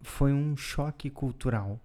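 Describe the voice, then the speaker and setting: A man, co-hosting a podcast and explaining things in a calm, casual way, often ending with a questioning tag. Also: medium pitch, slow. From Brazil. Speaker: podcast co-host. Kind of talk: casual conversational storytelling.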